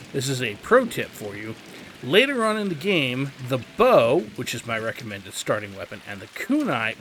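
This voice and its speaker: Male game tutorial host, bright voice, lively intonation, conversational, explanatory, faint noise behind. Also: mid-range voice, low-quality recording. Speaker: gaming video host. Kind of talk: tutorial gameplay commentary.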